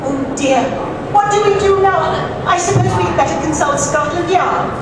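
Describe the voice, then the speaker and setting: A woman's voice: very high voice, unhurried. A woman playing a haughty, easily indignant titled lady, proper and theatrical, in old-time radio style. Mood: indignant, flustered. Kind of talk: old-time radio acting read live. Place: live stage reading in an auditorium. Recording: distant echoey hall, audience noise.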